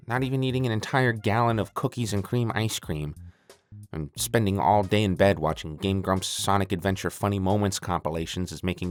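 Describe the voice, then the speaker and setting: A man's voice: fairly low pitch, fairly bright tone. Man doing a whiny, melodramatic mad scientist over music. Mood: gloomy, exasperated. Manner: melodramatic, exasperated, stammering. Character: self-pitying, theatrical male evil mad scientist, petulant and whiny. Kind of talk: comedic audio skit. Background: creepy music.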